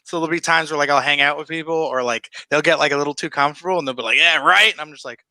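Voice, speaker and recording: American dude rambling casually, super bright sharp voice, dry sound. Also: male voice, a little high, rapid-fire, no room sound. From United States. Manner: relaxed rambling, lots of "you know what I mean", swearing. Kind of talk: casual chat.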